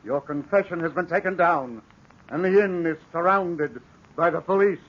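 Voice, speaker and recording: An actor playing a composed, shrewd professor in a 1940s radio drama, laying out an explanation in a measured way. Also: male voice, a little high, noisy background, close to an everyday voice. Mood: calm, assured. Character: calm shrewd male professor who unmasks a killer. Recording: dull old radio broadcast recording.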